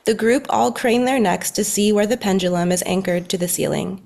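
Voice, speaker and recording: A woman's voice: mid-range voice, steady tone, brisk, gravelly, a little mellow. A woman narrating scripted stage directions for a story read-through.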